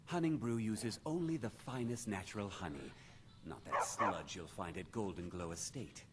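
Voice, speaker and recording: An actor playing a proud meadery owner in a fantasy video game, delivering boastful sales patter. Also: male voice, lowish voice, normal speed, heavy background noise, lightly acted. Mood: proud, dismissive.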